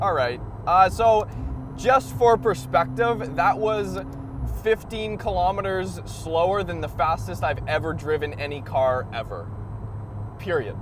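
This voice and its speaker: A young man vlogging from the driver's seat of a moving sports car, talking excitedly and laughing between lines. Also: sits high, measured pace, background noise. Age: young adult. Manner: excitable, laughs often, says "like" and "ridiculous". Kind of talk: casual car review.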